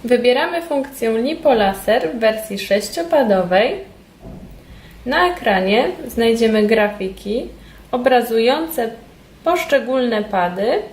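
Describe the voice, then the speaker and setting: Female instructor, roomy and echoey sound, formal scripted training narration, measured delivery. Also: fairly high pitch, expressive. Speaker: instructor presenting a beauty device training film. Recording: roomy echo, distant mic, background noise.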